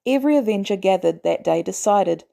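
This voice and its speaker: Female narrator for an audiobook-style fan fiction reading; warm, relaxed tone with a lively, expressive read. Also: mid-range voice, fairly quick, vocal fry. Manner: expressive storytelling, animated delivery. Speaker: podfic reader.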